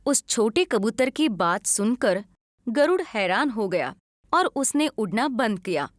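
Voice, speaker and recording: A woman's voice: on the high side, big pitch swings, a little slow, dry recording, clean studio sound. A woman narrating a scripted children's story as a storyteller.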